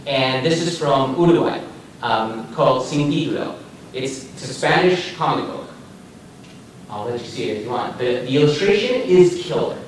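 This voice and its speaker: A man's voice: mid-range voice, lively intonation, moderate pace. A man from Argentina talking informally on a panel, unscripted, often checking in with his listeners. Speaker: comics marketer and panelist. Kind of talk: casual unscripted panel talk. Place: echoey room, recorded at a distance. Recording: very echoey room, distant mic, faint noise.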